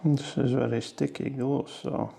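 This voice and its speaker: A man's voice: medium pitch, flat delivery, measured pace, creaky, mellow, breathy edge, soft background music. A man talking casually through a hands-on tutorial step by step, with non-native English phrasing. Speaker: host of a motorcycle gear how-to video.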